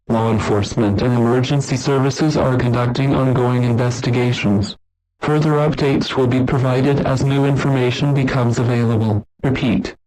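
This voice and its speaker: A man delivers a scripted emergency broadcast as an announcer, formal, measured and impersonal. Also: mid-range voice, a little mellow, low-quality recording.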